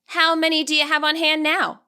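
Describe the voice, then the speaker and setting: A woman voicing an eager, earnest and polite teenage girl in an audiobook story, chatty and animated. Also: sits high, a little fast, voice pushed up. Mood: eager, tactful.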